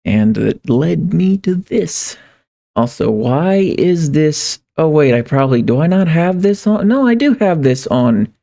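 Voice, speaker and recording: Slow, gravelly man chatting on livestream. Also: a little high, sing-song, dark tone. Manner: rambling, halting, repeats phrases as he thinks aloud. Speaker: live streamer. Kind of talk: casual livestream chat, reading viewer comments.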